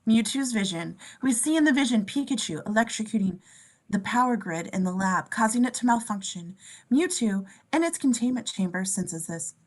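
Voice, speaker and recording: A woman's voice: fairly high pitch, lively intonation, moderate pace. A woman reading scene descriptions as narrator in a table read of a script.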